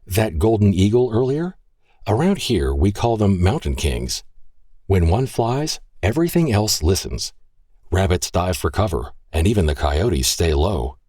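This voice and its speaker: A man's voice: deep voice, a little slow, breathy edge, no echo, clean studio sound, full character voice. A man voicing a curious, teasing young woman who works as a local mountain guide and tells folksy stories. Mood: playful. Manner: lively, playful, folksy storytelling.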